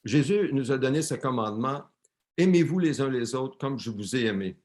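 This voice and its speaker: A man's voice: mid-range voice, animated, normal speed, darkish tone. A man interpreting a sermon live for a church, often repeating and correcting words as he translates.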